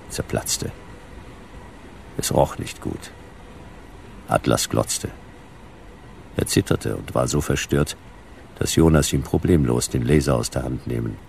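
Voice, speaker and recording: An actor playing a laconic, world-weary detective who narrates a hardboiled radio drama in a deadpan, gravelly voice. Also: male voice, a bass voice, a little hiss or hum, full character voice. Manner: deadpan, terse. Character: laconic, world-weary male detective telling his story.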